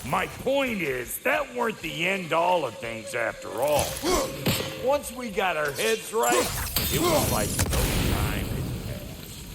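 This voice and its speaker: An actor playing a crude, gruff, wisecracking dwarf smith in a video game, with a gravelly, folksy, slangy drawl. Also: male voice, on the high side, huge pitch swings, slow pace, over loud music, heavily acted. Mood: earnest, blunt. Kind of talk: video game character acting.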